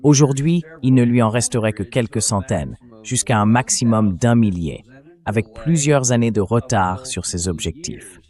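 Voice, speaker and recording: A man hosting a political talk show, explaining his argument in a measured way as a synthetic dubbed read. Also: mid-range voice, animated, a little mellow. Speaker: host.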